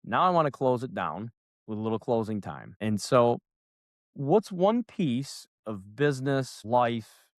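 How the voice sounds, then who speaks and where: A man with a Northern New York accent hosting an interview podcast, relaxed and chatty as he asks questions. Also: mid-range voice, measured pace, no room sound, studio quality. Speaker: podcast host, loan officer.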